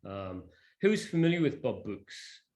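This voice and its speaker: A man presenting a software demonstration informally, in a hesitant, off-the-cuff way. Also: mid-range voice, very animated, measured pace. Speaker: presenter demonstrating a digital library.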